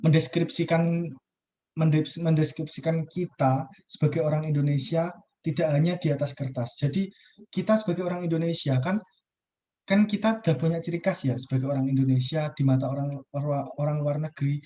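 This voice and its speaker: Young guy student on a video call, kinda high voice, soft mellow tone, lots of uh and restarts. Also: fairly even intonation, brisk. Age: young adult. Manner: casual, hesitant, many fillers and restarts. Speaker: university student. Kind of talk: student class discussion.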